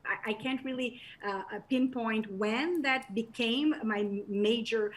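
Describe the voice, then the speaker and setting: A woman speaking English with a Brazilian Portuguese accent, her voice sitting a little high. She answers an interviewer thoughtfully, often pausing on fillers and restarting her sentences. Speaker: guest, professor and journalist.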